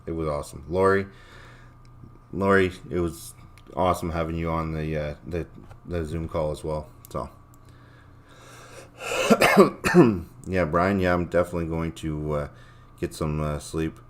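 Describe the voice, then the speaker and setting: A man with a low-pitched, slightly worn voice hosts a livestream. He talks casually and loosely, rambling from point to point and reading out chat comments, with blunt language and swearing. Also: a little slow, pauses often. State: voice a little worn, losing it. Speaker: livestream host.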